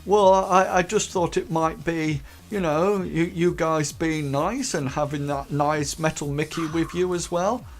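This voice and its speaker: A man's voice: on the high side, fairly even intonation, a little slow, hardly any pauses, natural voice. A man voicing a nervous, eager young male recruit who stammers constantly. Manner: stammering, hesitant.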